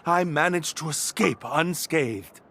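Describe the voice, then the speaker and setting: Highish male voice, slow delivery, polite earnest game townsman, grateful. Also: a bit bright, full character voice. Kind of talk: video game character dialogue.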